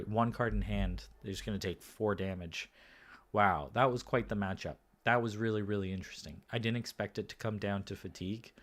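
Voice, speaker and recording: A man with a somewhat low, slightly hoarse voice talks through a card game match by himself. He keeps it relaxed and loose, pausing often with "uh" and "um" and restarting sentences as he thinks aloud. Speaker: game commentator and podcast host. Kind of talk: solo casual match commentary.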